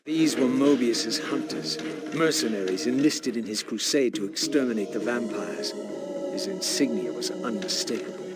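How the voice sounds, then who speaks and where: Casting: male actor for a brooding wraith narrator in a video game, theatrical and solemn, medium pitch, heavy score behind. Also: moderate pace, loud music behind the voice, natural voice. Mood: grave, reflective. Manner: grave, formal, theatrical. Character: bitter, brooding undead male wraith, fallen vampire. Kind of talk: scripted dramatic game narration.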